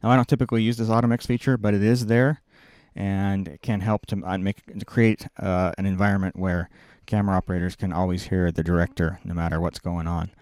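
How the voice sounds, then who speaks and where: A man's voice: low voice, flat delivery, brisk, a little mellow, no room sound. A middle-aged man giving an informal technical walkthrough, explaining step by step and often correcting himself as he goes. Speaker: video production engineer giving a tutorial. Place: small indoor production control room. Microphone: close boom-mounted microphone.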